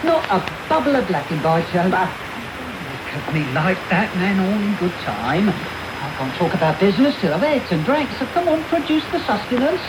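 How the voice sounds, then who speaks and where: Loud radio noise wraps around a swaggering burglar. He is brash and cocky, with a theatrical, highly varied pitch suited to a period radio play. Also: sing-song, moderate pace. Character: swaggering, cocky male housebreaker. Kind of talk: period radio drama acting. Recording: noisy medium-wave radio tape.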